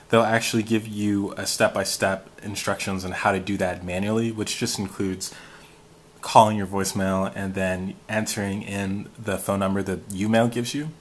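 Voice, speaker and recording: Low-voiced American man giving a tutorial. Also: a little hiss or hum. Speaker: tutorial host. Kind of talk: casual how-to app walkthrough.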